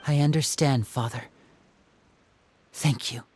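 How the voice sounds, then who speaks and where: An actor playing an earnest, loyal young man in a video game, soft-spoken and respectful. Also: mid-range voice, steady tone, slow, a little hiss or hum, natural voice. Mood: resolute. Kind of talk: dramatic video game voice acting.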